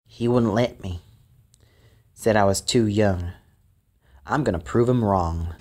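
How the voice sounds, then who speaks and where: A woman's voice: rumbling low, slow pace, a little mellow, full character voice. A woman voicing a stubborn, surly teenage boy of the Old West in an amateur audio drama. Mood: surly, defiant.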